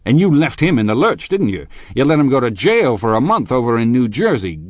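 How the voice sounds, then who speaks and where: A man voicing an angry, scornful husband in a storybook, forceful, accusing and emphatic. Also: fairly low pitch, moderate pace, no echo, pitched above the performer's own voice, lightly acted.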